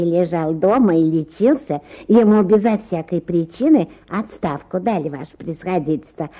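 An actress playing an older, stubborn and plaintive petitioner in a theatrical radio play, whining and insistent. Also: female voice, fairly low pitch, dark tone, muffled recording. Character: pushy, plaintive petitioner, older mother-in-law, obtuse, stubborn.

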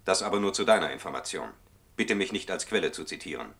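An actor playing an officious male records clerk who dictates memos in a formal, measured, bureaucratic way for a German radio play. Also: deep voice, animated, gravelly, a bit bright, full character voice. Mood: confidential, official.

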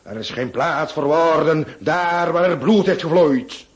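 A man's voice: a little high, measured pace, crowd noise, close to an everyday voice. An actor playing a proud, hot-headed, indignant Turkish man in a radio drama, theatrical and emphatic. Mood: wounded, indignant.

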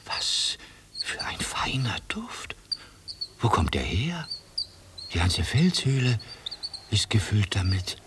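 A man's voice: lowish voice, sing-song, slow, pauses often, in a whisper. A man playing a genteel, hesitant, easily bewildered ship's captain in a German radio play. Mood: soft, hesitant.

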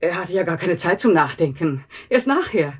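An anxious housekeeper in a radio drama. Her low voice is very husky and breathy. She rambles on, wordy and emotional, correcting herself as she goes. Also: sing-song, moderate pace. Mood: agitated, accusing. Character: chatty, anxious housekeeper protective of her brother.

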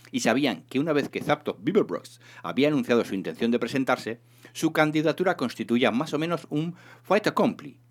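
A man reading a humorous science fiction novel aloud in an expressive, theatrical narration. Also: fairly low pitch. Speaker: reader of a serialized humorous sci-fi novel.

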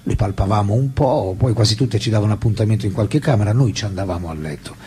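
An actor playing a candid, detached French intellectual man recalling his life in a radio drama, with a husky voice and reflective, hesitant delivery. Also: fairly low pitch, fast talker, mellow, slight background noise, close to an everyday voice. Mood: candid, dismissive. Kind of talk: radio drama acting, memoir monologue and dialogue.

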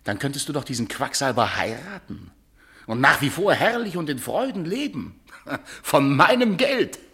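An actor in a German radio play as a domineering, mocking husband who talks with theatrical condescension. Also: male voice, fairly high pitch, sing-song, moderate pace, sharp tone, husky, exaggerated voice. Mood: cold, sneering. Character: sneering, domineering husband who enjoys power and taunting.

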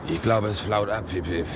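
Laconic, resigned sailor, very deep and creaky, radio drama under loud noise. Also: male voice. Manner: terse, laconic, flat resignation. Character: stoic, resigned seaman Lasky, worn-out shipwreck survivor. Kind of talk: German radio play acting. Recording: very noisy old radio play recording.

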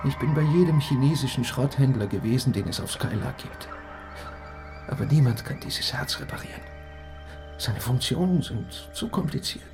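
An actor playing a polite, lovesick male robot in a radio drama, earnest, deferential and pleading. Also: mid-range voice. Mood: distressed. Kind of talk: German radio drama acting. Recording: music playing behind the voice.

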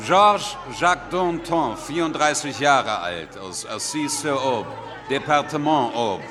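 Defiant proud revolutionary, radio drama, declaiming, long hall reverb, crowd behind. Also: male voice, medium pitch, animated, slow. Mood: defiant, grand. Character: defiant, grandiose revolutionary in his thirties on trial.

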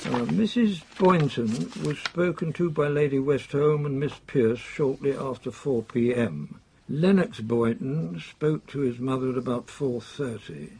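An actor with a British accent playing an earnest, proper official in a radio drama, brisk and formal in manner. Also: male voice, mid-range voice, darkish tone, slightly rough, low-quality recording. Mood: earnest, reporting. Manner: brisk, formal, old-fashioned British exclamations.

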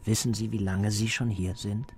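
An actor playing a coldly patient, menacing party interrogator who lectures deliberately and insistently in a radio drama. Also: male voice, on the low side, fairly even intonation, slight background noise, natural voice. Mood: patient, controlling. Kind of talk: German radio drama acting.

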